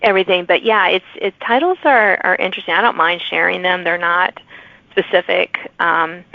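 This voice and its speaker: A woman hosts a casual chat in a lowish voice with plenty of creak at the edges. She thinks aloud, often leaving phrases half finished. The sound is dry and slightly dull, like a lo-fi recording. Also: normal speed, no echo. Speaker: podcast host and fiction author. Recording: dull, lo-fi close audio.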